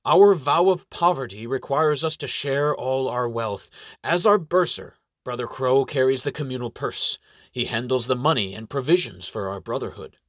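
A man's voice: medium pitch, moderate pace, fairly bright tone, no echo, clean studio sound, close to an everyday voice. A man doing a courtly, pious inquisitor. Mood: explaining. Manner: formal, genteel, pious. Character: courtly male inquisitor leading a friars' party.

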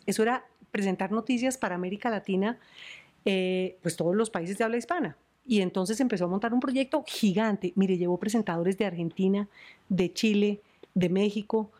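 A middle-aged Colombian woman journalist, animated and chatty, telling stories in a podcast interview. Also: medium pitch. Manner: animated, laughs often, storytelling. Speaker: journalist and news presenter.

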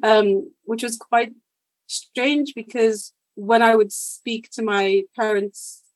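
A woman's voice: on the high side, steady tone, slow, no room sound, poor audio. A young adult woman, a guest chatting casually in an interview, laughing often and talking with many fillers. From India and the United Kingdom.

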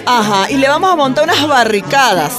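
A woman's voice: high voice, animated, a little fast, over music, a crowd in the background. An actress playing a feisty, determined woman councillor and activist in a radio drama, emphatic and bossy, with a Venezuelan accent. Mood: rousing, encouraging.

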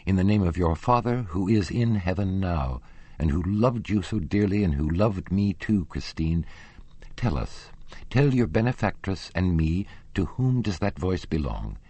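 Storybook young aristocrat, played by a male narrator: lovesick, impassioned, formal, with a husky edge. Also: low-pitched, expressive, measured pace, darkish tone, no room sound, voice pushed up, full character voice. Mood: pleading, urgent. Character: anguished, pleading young male lovesick nobleman.

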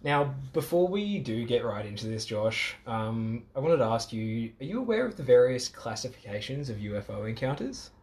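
A man's voice: medium pitch, sing-song, moderate pace, hardly any pauses, a little mellow. An Australian man hosting a casual podcast chat, jokey and self-mocking. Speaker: podcast host.

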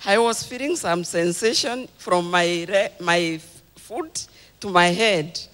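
A woman's voice: fairly low pitch, slow, fairly bright tone. A woman, a congregant, giving a short spoken testimony at a church healing service. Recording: echoey church hall.

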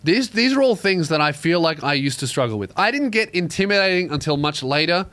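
A man's voice: on the high side, lively intonation, a little fast, fairly bright tone, no room sound. Crude, sardonic young man. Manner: crude, sardonic, reads listener emails aloud. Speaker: podcast host. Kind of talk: casual comedic podcast monologue.

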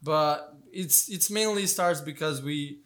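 A young man from Albania, a fighter guest in a casual interview, talking in a relaxed, hesitant way with many fillers and restarts. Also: fairly high pitch, takes it slowly.

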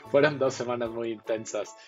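A man from Mexico hosting a podcast, asking questions in a relaxed, joking manner. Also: medium pitch, lo-fi sound. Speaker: podcast host. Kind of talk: casual interview talk.

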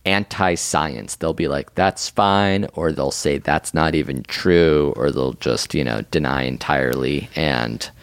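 A man's voice: extremely low pitch, a little slow, few pauses, clear, resonant tone, dry recording. A man in his thirties co-hosting a podcast in a casual, comedic chat, teasing his co-host, laughing often, and reading out a listener email. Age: 30s.

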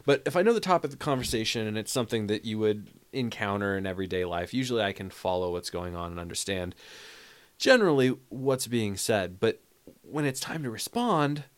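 A middle-aged podcast host in a loose chat over drinks, with a somewhat low voice. He thinks aloud, restarts his sentences and laughs at himself often. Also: lively intonation, a little fast, clear, resonant tone. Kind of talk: casual tipsy chat.

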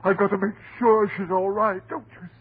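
A man's voice: sits high, steady tone, normal speed, slightly rough. A man playing an overwrought, melodramatic husband on the edge of madness in a 1940s radio horror play. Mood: anguished, pleading. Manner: anguished, melodramatic, swinging from murmurs to shouts. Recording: muffled, faintly noisy old radio recording.

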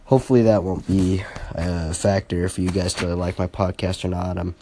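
A teenage boy talks his way through a casual solo podcast, hesitant and full of fillers and restarts. His voice sits low and sounds mellow and soft-edged. He comes across earnest and a bit self-conscious. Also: fairly even intonation, moderate pace, slight background noise. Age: teens. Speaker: podcast host.

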